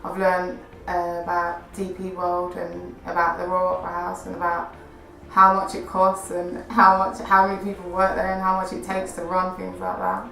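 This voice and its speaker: A woman's voice: on the low side, completely flat delivery, normal speed, vocal fry, a bit bright. A woman, a youth work apprentice answering interview questions. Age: 20s. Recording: roomy, with music behind.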